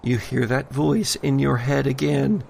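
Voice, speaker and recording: Slow, gravelly male game master. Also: medium pitch, steady tone, darkish tone, a little hiss or hum. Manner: deliberate, suspenseful, with drawn-out pauses. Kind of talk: dramatic tabletop horror narration.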